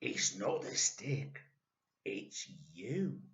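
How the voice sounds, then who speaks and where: A woman voicing a nasty old husband who taunts his wife loudly and slowly. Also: a bass voice, sing-song, vocal fry, exaggerated voice. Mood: taunting. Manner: loud, slow, mocking taunts. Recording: echoey room, dull lo-fi.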